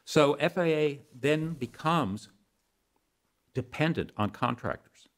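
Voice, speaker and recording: A man's voice: a little high, animated, slow. Older man explaining emphatically in conversation. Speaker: policy expert guest.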